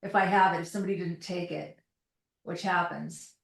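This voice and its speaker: A woman's voice: lowish voice, fairly even intonation, normal speed, gravelly. A woman speaking as a clinician guest on a podcast, direct and emphatic, correcting herself as she talks through her practice. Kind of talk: conversational clinical discussion.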